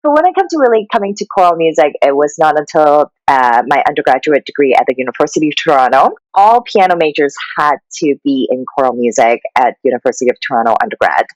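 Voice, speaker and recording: Low-voiced woman from Hong Kong. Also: expressive, quick pace, hardly any pauses, dry recording, muffled recording. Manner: reflective, friendly, with fillers. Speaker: guest. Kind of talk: conversational interview.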